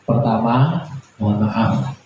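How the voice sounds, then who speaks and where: A man's voice: on the low side, animated, takes it slowly, dark tone. A man, giving an informal Islamic sermon in a folksy, humble, joking way, chatting with his listeners over a public address microphone. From Indonesia. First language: regional Indonesian village language. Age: 40s. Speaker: Islamic preacher. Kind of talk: informal religious sermon to a congregation. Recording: very echoey, muffled, noisy PA recording.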